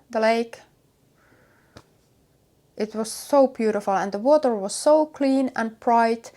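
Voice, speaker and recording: A woman from Finland with a Finnish accent and Finnish as her first language, chatting casually to viewers as a vlog host in a halting, hesitant way with many pauses. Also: mid-range voice. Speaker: knitwear designer and vlog host.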